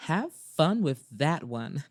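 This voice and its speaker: A woman's voice: on the low side, very animated, dark tone. A woman voicing a knowing, teasing female best friend in an audiobook romance, drawing out her words with a smirk. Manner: teasing, smirking, drawn-out delivery.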